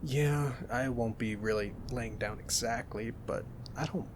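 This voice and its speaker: A young man in a soft-spoken ASMR roleplay, teasing and gentle as a cuddly roommate. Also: medium pitch, measured pace, background noise.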